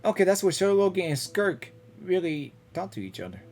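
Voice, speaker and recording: A game reaction streamer giving casual commentary, halting and repeating words while thinking aloud. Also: mid-range voice, very animated, a little mellow, a little hiss or hum.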